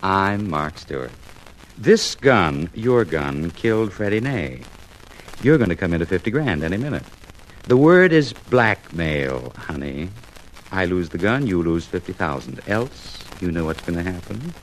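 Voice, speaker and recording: An actor playing a slick, mocking, commanding blackmailer in a 1950s radio drama. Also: male voice, low-pitched, very animated, measured pace. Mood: cold threat. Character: menacing male blackmailer holding a gun. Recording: noisy 1950s radio recording.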